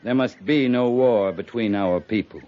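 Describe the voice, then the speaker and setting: An actor playing a steady, reasoning cavalry captain in a 1950s radio western, speaking in a measured, earnest way. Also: male voice, lowish voice, natural voice. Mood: earnest, solemn. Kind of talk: 1950s radio drama acting. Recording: dull 1950s radio recording.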